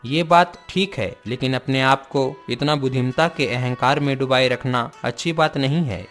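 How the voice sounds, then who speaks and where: A man's voice: medium pitch, moderate pace, fairly bright tone, faint music behind, close to an everyday voice. A man voicing a humble, lovelorn commoner in a cartoon story, with a plaintive manner. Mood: meek, reproachful. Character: humble, lovelorn commoner, secret lover of a princess.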